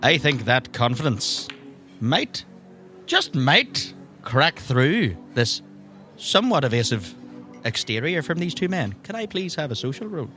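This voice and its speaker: Male game master, average pitch, improvised scene narration with music underneath. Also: very animated, measured pace, sharp tone.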